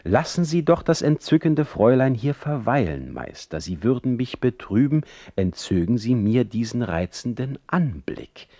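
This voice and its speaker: A man voicing a young, idealistic gentleman in a radio play, gallant and easily offended, speaking in high-flown courtly phrases. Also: big pitch swings, normal speed, no echo, clean studio sound. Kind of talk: quoted dialogue in literary radio play.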